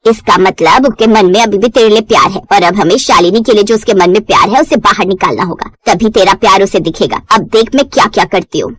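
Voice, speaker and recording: A woman's voice: medium pitch, fairly quick, few pauses, fairly bright tone, husky, no echo, voice pushed down. Woman putting on a scolding old village mother-in-law. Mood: scheming. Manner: scornful, commanding, earthy insults.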